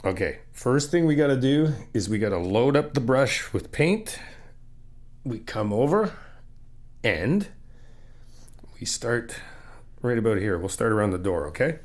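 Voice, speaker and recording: A man's voice: medium pitch, sing-song, a little slow, long pauses, a little mellow. A man playing an easygoing, encouraging friend in a casual ASMR roleplay, chatting informally and explaining things patiently. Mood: patient, instructive. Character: easygoing, encouraging male friend helping paint an apartment.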